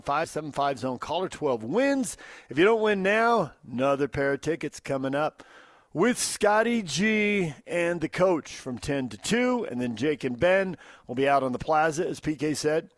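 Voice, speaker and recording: A man's voice: a little high, animated, normal speed, no room sound, clean studio sound. A man working as a sports radio host, moving between conversational talk and emphatic station announcing.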